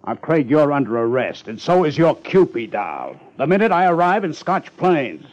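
A man's voice: on the high side, sing-song, moderate pace. A man acting a blunt, authoritative lawman in a 1950s radio drama, with emphatic delivery. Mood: indignant. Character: blustery, authoritative lawman, indignant then soberly blunt. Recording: muffled 1950s radio recording.

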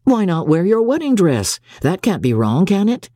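A woman reading the part of a mild young gentleman husband in a classic novel, easygoing and gently reassuring. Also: medium pitch, very animated, normal speed, no echo, studio quality, voice pushed up. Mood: indulgent.

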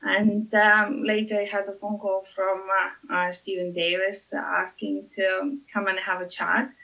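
A woman from Lithuania with a Lithuanian accent, speaking as a podcast guest with many halting "uh" fillers. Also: mid-range voice, level tone, vocal fry, bright, forceful tone, poor audio. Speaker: master blender at a whisky distillery.

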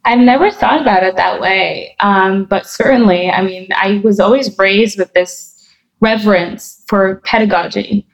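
A woman's voice: medium pitch, measured pace, gravelly, poor audio. A woman from New Jersey answering interview questions, thoughtful and chatty, with many fillers and restarts. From New Jersey, USA. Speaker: guest.

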